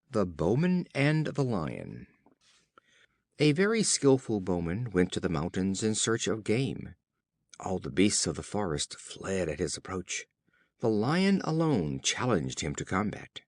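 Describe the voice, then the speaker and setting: A low-voiced man reads scripted children's fables as an audiobook narrator. His telling is slow and formal, with pitch that rises and falls freely. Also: sing-song, dry recording. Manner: measured, formal storytelling.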